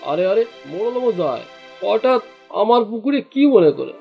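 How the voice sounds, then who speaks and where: A man's voice: high-pitched, sing-song, moderate pace, creaky, mellow, soft background music, full character voice. A man voicing a jealous, greedy fisherman in a cartoon, grumbling and indignant in his delivery. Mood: worried. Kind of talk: cartoon dialogue acting.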